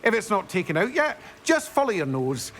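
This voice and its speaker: A woman's voice: medium pitch, big pitch swings, moderate pace, fairly bright tone, faint music behind. A woman playing a chatty female house-elf kitchen worker in a video game. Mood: chatty, helpful. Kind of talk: video game background character acting.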